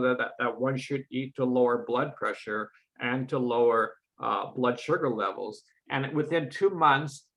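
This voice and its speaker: Halting, mellow-voiced man on a call. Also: medium pitch, rough recording. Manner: halting, repeats words often. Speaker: guest. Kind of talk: casual chat.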